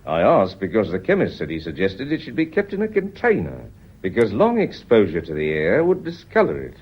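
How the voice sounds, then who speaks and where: Radio play, British police inspector: deep, animated, deliberate and politely insinuating, on a dull recording. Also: male voice, breathy edge, exaggerated voice. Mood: probing, wry. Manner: measured, formally courteous, insinuating. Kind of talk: British radio drama acting. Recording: dull, band-limited radio drama recording.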